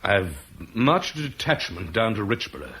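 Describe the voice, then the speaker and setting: Brief: weary middle-aged Roman officer, dry and measured, lively inflection, slight hiss on an old radio recording. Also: male voice, fairly low pitch. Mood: restrained. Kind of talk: 1960s BBC radio drama acting.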